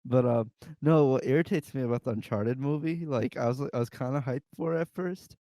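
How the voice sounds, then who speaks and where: Male podcast co-host, mid-pitched, informal and opinionated group chat, dry sound. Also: brisk, warm tone, no echo. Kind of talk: casual group discussion.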